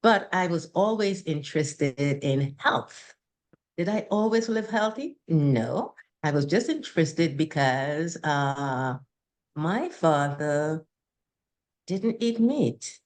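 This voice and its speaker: An older woman with a Trinidadian accent talks at a slow, deliberate pace. Her voice sits a little low, and she answers questions in a measured, unhurried way. She breaks now and then into soft laughter. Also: very animated. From Trinidad and Tobago. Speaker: guest. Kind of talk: interview conversation.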